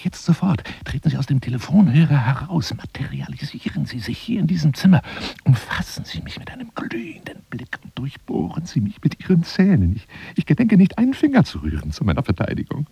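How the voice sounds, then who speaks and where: An actor playing a self-dramatizing, obsessive big-game hunter monologuing on the telephone in a radio play, theatrical and often dropping into breathy whispers. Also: male voice, on the high side, wildly swooping pitch, brisk, dry recording, heavily acted. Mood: seductive, feverish. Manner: theatrical, swinging from hushed murmurs to agitated outbursts.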